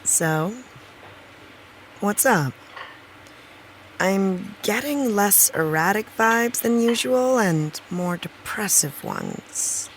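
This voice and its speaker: A woman's voice: medium pitch, wildly swooping pitch, very slow, long pauses, slight background noise, heavily acted. A woman playing a wry, candid actress and supportive friend in an audio drama, with dry, teasing, naturalistic delivery. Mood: probing, dry.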